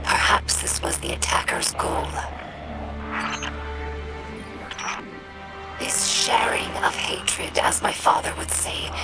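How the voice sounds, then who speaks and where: A woman voicing a thoughtful alien scribe in a video game, speaking formally and precisely in a breathy rasp, measured and quietly wounded. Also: lowish voice, low-quality recording. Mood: quiet disappointment. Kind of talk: scripted video game monologue. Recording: loud music behind the voice. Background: loud music.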